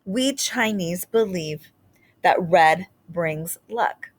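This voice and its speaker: A woman voicing a gentle, admiring female art teacher in a storybook, sincere and encouraging. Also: lowish voice, very slow, dry recording. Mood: tender, solemn. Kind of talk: storybook read-aloud dialogue.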